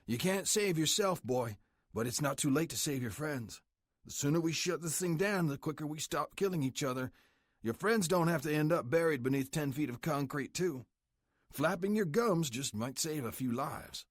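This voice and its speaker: A man voicing a gruff, menacing older biker club president who delivers clipped threats. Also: a little high, animated, normal speed, no room sound, studio quality, voice pushed up.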